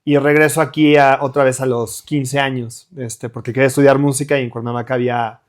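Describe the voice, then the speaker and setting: A man's voice: medium pitch, flat delivery, fairly quick. A middle-aged man from Mexico City with a Mexican accent, a guest musician chatting casually in an interview and rambling through restarts and fillers. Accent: Mexican Spanish.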